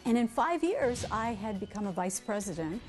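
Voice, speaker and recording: A woman in her forties, an executive coach, speaking briskly and directly to the camera while seated in an office. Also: on the high side, sing-song, darkish tone, faint music behind. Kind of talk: interview-style talk to camera. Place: office room indoors.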